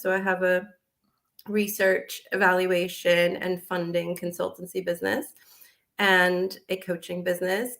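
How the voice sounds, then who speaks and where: A woman's voice: on the low side, creaky, a bit bright. An American woman in her forties, a guest in a casual interview, talking animatedly with frequent laughs and fillers. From United States.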